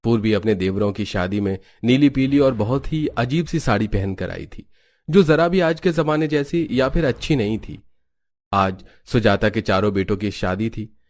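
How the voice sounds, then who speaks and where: A man's voice: fairly low pitch, lively intonation, normal speed, darkish tone. A man reading scripted storybook narration for a cartoon family tale.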